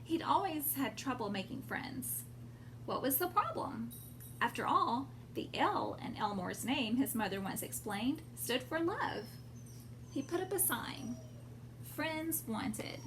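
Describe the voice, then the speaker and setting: A woman reading a storybook aloud expressively to young children, pausing to explain things simply. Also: sits high, very animated. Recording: clear background noise.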